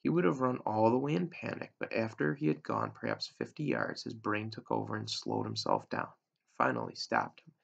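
A man in his thirties with a gravelly, husky voice, reading a storybook aloud like a teacher to a class. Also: lowish voice, sing-song, moderate pace. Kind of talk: read-aloud storytelling narration. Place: small room indoors at home. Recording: dull, lo-fi webcam sound.

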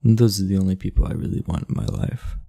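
Man acting deep, gravelly teenage class delinquent. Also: sing-song, quick pace, warm tone, heavily acted. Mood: earnest, confiding. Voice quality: gravelly, husky. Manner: laid-back, blunt, confiding. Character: aloof, muscular, blunt teenage class delinquent, secretly earnest. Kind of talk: intimate ASMR roleplay acting.

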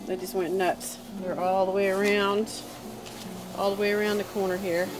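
A woman's voice: medium pitch, flat delivery, moderate pace, noisy background. Chatty woman touring her garden. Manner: chatty, cheerful, thinks aloud. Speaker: homesteader vlogger. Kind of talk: casual walking garden tour. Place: outdoors in a backyard garden. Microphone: phone camera held while walking. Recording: guitar music underneath.

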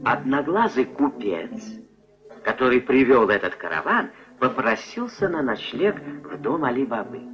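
A man's voice: medium pitch, big pitch swings, unhurried, crowd noise. A man narrating a scripted fairy tale in a storytelling style, acting out the dialogue. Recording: dull old film soundtrack with music behind.

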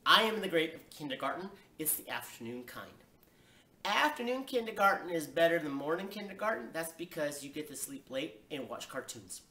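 A man voicing a bossy, excitable kindergarten girl in a storybook, with emphatic childish grammar, in an echoey room. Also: fairly high pitch, brisk, heavily acted. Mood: chatty, proud. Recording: roomy echo, distant microphone.

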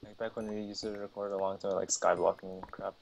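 A man's voice: sits low, little pitch movement, brisk, vocal fry, a little mellow, a little hiss or hum. A young man reminiscing and joking with friends during a game, giggling often. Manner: giggly, teasing. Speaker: gamer in a group commentary. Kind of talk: casual gaming chat with friends.

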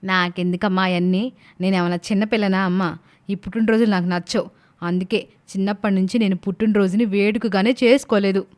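A woman's voice: medium pitch, fairly even intonation, a little fast, darkish tone, natural voice. A woman playing a fond, teasing village mother who scolds affectionately in homely colloquial speech, in a story cartoon. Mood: teasing, dismissive. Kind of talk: animated moral story dialogue.

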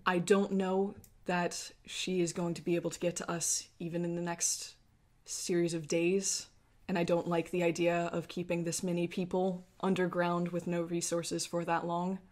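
Woman playing a grave, guarded man, low voice. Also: little pitch movement, darkish tone, lightly acted. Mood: grave, resigned. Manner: measured, grave, formal phrasing. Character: guarded, weary man, impatient refugee leader with a wife.

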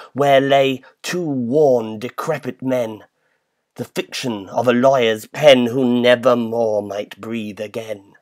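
A man giving a dramatic, measured recitation of a poem in a theatrical manner. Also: medium pitch. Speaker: poetry reader.

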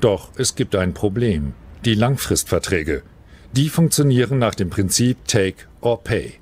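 A man narrating a scripted TV news documentary in a measured, even delivery. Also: lowish voice, husky.

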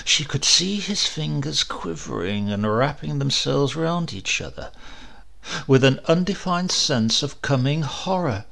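A man reading a horror story as an audiobook narrator, theatrical and suspenseful, with emphatic swells and hushed drops. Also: medium pitch, gravelly.

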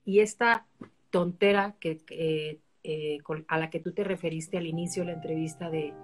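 Woman host, low-ish voice, chatty and animated, faint music under. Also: moderate pace. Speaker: interviewer host. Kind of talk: casual live-stream conversation. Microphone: online live-stream.

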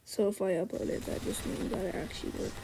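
Woman, quiet and scratchy, loose trailing-off game chatter; gravelly tone over a noisy recording. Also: mid-range voice, fairly even intonation, fast talker. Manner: quiet, soft-spoken, trailing off. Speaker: gaming video creator. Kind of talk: rambling casual commentary over gameplay.

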